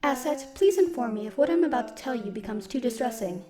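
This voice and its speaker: A woman playing a stoic, dutiful military robot in an audio roleplay, speaking in a formal, measured, protective way. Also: on the high side, animated, a crowd in the background, close to an everyday voice. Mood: subdued, solemn. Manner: formal, measured, literal wording. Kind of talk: scripted audio roleplay, speaking softly to one listener.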